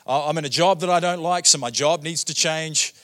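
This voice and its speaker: A man's voice: a little high, fairly quick, a bit bright. A man in his thirties with a New Zealand accent, preaching as a guest pastor in a church, chatty and joking with many fillers and "right?" tags. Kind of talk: sermon. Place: church auditorium.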